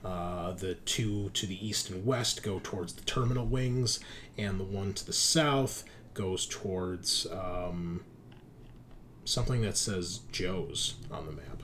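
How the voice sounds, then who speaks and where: A man working as game master, narrating a tabletop roleplaying game in a halting, hesitant way. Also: sits low, fairly bright tone. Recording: clearly noisy background.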